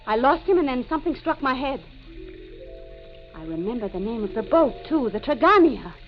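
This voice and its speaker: An anguished woman with a lost memory in an old radio mystery. Her voice sits on the high side and is brooding and melodramatic. The vintage recording is dull, with some music behind her. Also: very animated, normal speed. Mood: dawning horror. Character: anguished amnesiac murderess, haunted and despairing. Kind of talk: 1940s radio mystery acting.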